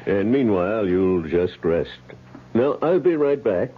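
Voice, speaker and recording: An actor playing a calm, soothing but dismissive doctor in a radio drama, measured and placating. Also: male voice, fairly low pitch, slightly rough, narrow, tinny audio. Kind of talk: 1970s radio drama acting. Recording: thin, noisy vintage radio recording.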